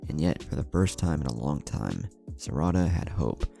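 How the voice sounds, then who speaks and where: Very deep-voiced man narrating a story. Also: big pitch swings, moderate pace, creaky, mellow. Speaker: fan fiction narrator. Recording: music under the voice. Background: background music.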